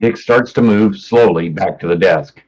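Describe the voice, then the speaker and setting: A man's voice: sits low, moderate pace, darkish tone, breathy edge, rough recording. A man reading stage directions as scripted narration for a staged play reading.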